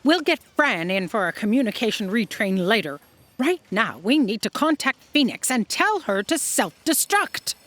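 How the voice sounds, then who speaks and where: A woman's voice: on the high side, very animated, moderate pace, a bit bright, no room sound. A woman playing a pompous, impatient commanding officer in a comic sci-fi audio drama. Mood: imperious. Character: imperious, impatient female boss, coffee-craving and dismissive.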